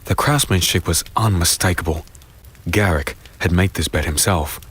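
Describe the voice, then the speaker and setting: A man playing a weary, brooding fantasy hero who narrates his own dark tale in a deliberate, somber, dramatic way. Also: sits low, slightly rough. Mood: somber, tender. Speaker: narrator and lead character. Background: music.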